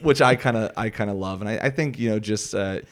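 A man in his thirties from the Philadelphia area with a Philly accent, chatting casually as a comedian guest, relaxed and halting with lots of fillers. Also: fairly low pitch, a little hiss or hum. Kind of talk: casual interview chat.

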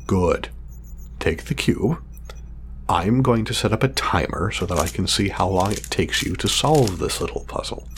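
An actor playing a meticulous male scientist in an audio drama, speaking formally and wordily, halting when flustered. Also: sits low, animated, slight background noise. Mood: measured, pleased. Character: meticulous, fussy male scientist testing his drone creation.

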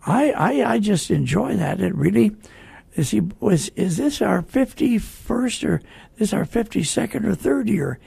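Very gravelly, husky elderly man. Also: high-pitched, lively intonation, measured pace, mellow, no echo. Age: 80s. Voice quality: weakened, raspy elderly voice. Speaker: radio host. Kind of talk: casual on-air chat.

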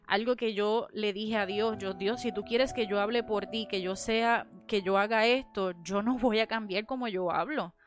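A woman with a Puerto Rican Spanish accent, raised in Miami, talking animatedly in a casual church discussion and dropping in English words. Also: medium pitch. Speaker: panelist.

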